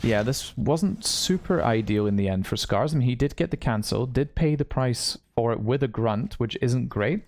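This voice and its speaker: A man with a mix of British and American English, calmly analyzing a live esports match and laughing easily. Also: mid-range voice, normal speed, hardly any pauses. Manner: easygoing, laughs often, analytical. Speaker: esports co-caster. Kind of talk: live game commentary.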